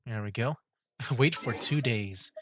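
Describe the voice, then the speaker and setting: A man doing casual livestream gameplay commentary, joking and thinking out loud. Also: medium pitch, slow, slight background noise. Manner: joking, chatty, thinks aloud. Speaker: game streamer.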